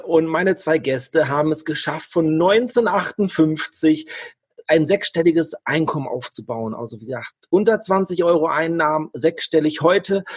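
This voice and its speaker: Husky joking podcast host, a man. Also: on the high side. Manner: joking, informal. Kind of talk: casual interview chat.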